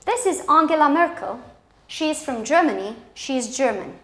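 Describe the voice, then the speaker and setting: High-pitched Romanian-accented woman teaching English, echoey. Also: expressive, moderate pace, a bit bright. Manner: didactic, enunciates example sentences. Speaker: English teacher presenting a televised lesson. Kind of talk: scripted lesson for schoolchildren. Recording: echoey, roomy sound.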